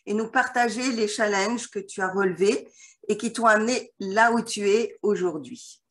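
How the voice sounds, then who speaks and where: Woman host, welcoming, formal intro, mid-pitched voice. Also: moderate pace. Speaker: interview host. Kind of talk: hosted interview.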